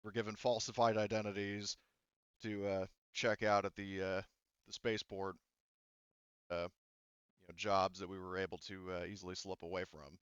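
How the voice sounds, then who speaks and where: A man's voice: deep voice, flat delivery, moderate pace, long pauses, fairly bright tone, smooth, dry recording, lo-fi sound. A man voicing a stoic, blunt captured criminal in a tabletop roleplaying game, with hesitant delivery. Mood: stoic, cooperative. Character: stoic captured male henchman leader bargaining coolly.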